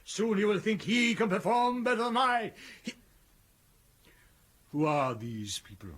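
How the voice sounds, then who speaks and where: A vain Victorian thespian in a radio drama. His British voice sits fairly high and slightly husky, declaiming with pompous, short-tempered grandeur. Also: expressive, measured pace, a bit bright, heavily acted. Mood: haughty, peeved. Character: vain, irascible Victorian stage actor, grandly outraged. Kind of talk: theatrical radio drama acting.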